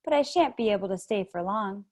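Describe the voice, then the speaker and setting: A woman reading aloud, voicing a curious, polite young girl who speaks in a bright, questioning way. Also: medium pitch, expressive, brisk, close to an everyday voice.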